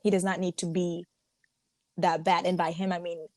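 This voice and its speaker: A young woman from Uganda with a mixed, neutral accent, a podcast guest speaking candidly in a lively way and laughing easily. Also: lowish voice, moderate pace, no echo. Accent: mixed, neutral, slightly American. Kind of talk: casual interview.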